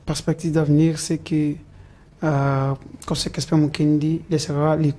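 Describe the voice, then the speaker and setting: A man's voice: fairly high pitch, flat delivery, unhurried, mellow. A man answering interview questions, hesitant and full of "euh" fillers and restarts. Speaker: political supporter interviewed as guest.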